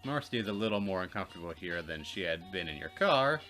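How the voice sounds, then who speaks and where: A man reading visual novel narration aloud as a let's play co-host, expressive and wry, with casual asides. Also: deep voice, fast talker, faint music behind.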